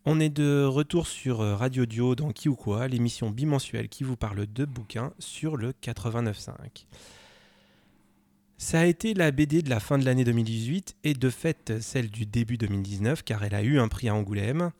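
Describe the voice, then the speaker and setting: A man's voice: medium pitch, normal speed, darkish tone, no echo. A man hosting a radio show about books, reading his introduction with small hesitations. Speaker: radio host.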